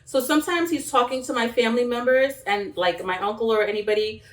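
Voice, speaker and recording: A woman's voice: a little high, fast talker, recorded from a distance. A woman from New York, born in Jamaica, chatting casually and rambling while she cooks in her home kitchen. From born in Jamaica, raised in Brooklyn, New York. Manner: rambling and chatty, laughs often, talks while chopping. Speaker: cooking vlogger. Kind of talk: casual chat while cooking.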